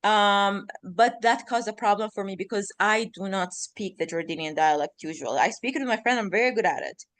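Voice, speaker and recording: A woman's voice: mid-range voice, a little fast, a bit bright, dry recording. A woman in her thirties from Iraq whose first language is Arabic, chatting as a podcast guest in a rambling way, often correcting herself mid-sentence. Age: 30s. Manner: rambling, self-correcting, many fillers. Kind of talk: casual chat.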